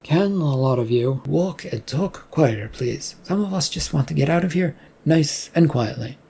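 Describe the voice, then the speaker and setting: A narrator voicing a calm, detached male worker who is quitting, in a laid-back, hesitant manner for an audio story. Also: mid-range voice, normal speed, gravelly, husky. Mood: weary, irritated.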